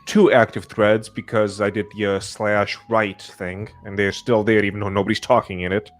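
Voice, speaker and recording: A man hosting a casual group chat, giving brief, low-key replies. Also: little pitch movement, normal speed, faint music behind. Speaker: podcast host.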